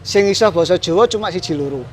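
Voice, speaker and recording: A man's voice: high-pitched, fast, a little hiss or hum. A man from Central Java with a Javanese accent, chatting casually as a guest puppeteer and chuckling often. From Central Java, Indonesia. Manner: relaxed, mixes Javanese and Indonesian, chuckles often. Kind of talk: casual interview chat.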